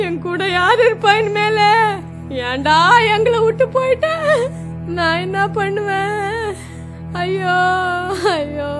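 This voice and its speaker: An actress gives voice to a heartbroken village mother, wailing and sobbing in a very high, piercing voice that drags slowly through each cry. A music score plays clearly underneath her lament. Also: expressive, full character voice. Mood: wailing grief. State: crying. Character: grieving village mother mourning her dead son. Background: background music.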